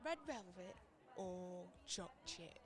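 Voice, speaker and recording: Woman playing bereaved older woman, Northern English, chatty, kindly, unhurried, lilting, amateur radio drama. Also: fairly low pitch, expressive, crowd noise. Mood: friendly, coaxing. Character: chatty, warm-hearted bereaved older woman at community centre. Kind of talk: amateur community radio play acting.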